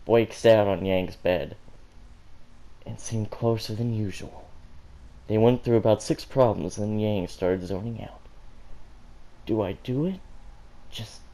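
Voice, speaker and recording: A man's voice: lowish voice, steady tone, measured pace, warm tone, rough recording. A man reading fan fiction aloud as amateur storybook narration, stumbling and correcting himself as he goes. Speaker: fan fiction reader for a gaming channel.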